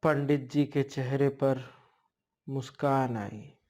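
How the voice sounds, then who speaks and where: A man narrating a ghost story as a storyteller, in short, halting phrases. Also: mid-range voice, steady tone, a little mellow. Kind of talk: slow spoken ghost storytelling.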